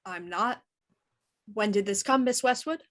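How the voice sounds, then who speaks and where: An actress playing an actor who voices a stern inspector, with clipped, probing questions. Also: female voice, mid-range voice, a little slow, fairly bright tone, natural voice. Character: young woman actor, sharp and sarcastic, voicing a stern inspector. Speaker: actor playing a police inspector in a period radio play. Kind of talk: audio drama acting.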